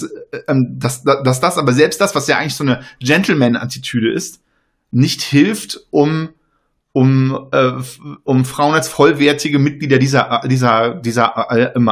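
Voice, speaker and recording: A man who stutters, speaking in a casual panel discussion, with many fillers and restarts. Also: mid-range voice.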